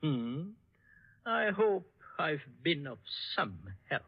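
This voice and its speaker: An actor playing an elderly, courtly psychoanalyst who talks in a formal, rambling way, in a 1940s radio drama. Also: male voice, fairly high pitch, very animated, slow, breathy edge, exaggerated voice. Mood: musing, courtly. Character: elderly, verbose Viennese psychoanalyst, courtly and self-important. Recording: dull vintage 1940s radio recording.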